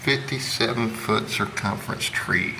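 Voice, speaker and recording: An American man from Indiana giving a casual, plain-spoken, unhurried how-to demonstration as a hobby vlogger, pausing as he works. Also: on the low side, vocal fry, noisy background. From Indiana, United States. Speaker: amateur radio hobby vlogger. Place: outdoors.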